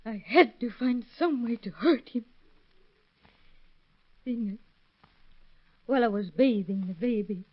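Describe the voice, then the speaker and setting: A woman playing an anguished, abused young mother confessing in a 1930s radio drama, halting and distraught. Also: on the high side. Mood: bitter, haunted. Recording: muffled old 1930s radio recording.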